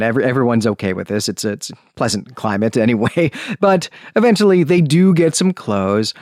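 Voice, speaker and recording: Guy hosting a book podcast, really lively up and down pitch, chatty and into it, close dry recording. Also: no room sound. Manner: enthusiastic, chatty, informal asides. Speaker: podcast host. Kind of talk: conversational book discussion podcast.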